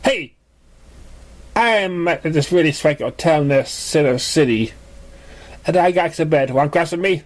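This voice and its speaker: Man as an easygoing stallion pony, slightly high. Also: a little slow, pauses often, close to an everyday voice. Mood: eager. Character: confident, easygoing, sociable male pegasus pony.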